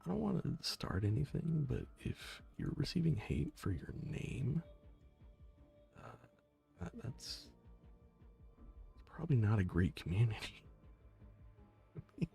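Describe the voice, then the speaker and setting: Gravelly soft-spoken male game streamer. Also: very animated, measured pace, pauses often, soft background music. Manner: low-key and soft-spoken, joking, sometimes whispering. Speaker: game streamer reading chat. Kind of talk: casual gaming stream chat.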